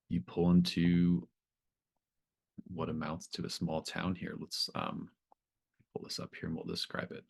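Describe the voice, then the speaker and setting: Really deep voiced guy running a tabletop rpg, describing scenes bit by bit. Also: creaky, fairly bright tone, breathy edge. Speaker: game master. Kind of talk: improvised tabletop game narration.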